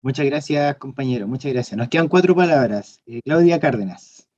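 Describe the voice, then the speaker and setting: A man's voice: medium pitch, fairly quick, darkish tone, dry recording, lo-fi sound. A man moderating an online class, giving brief, courteous handovers to the next speaker.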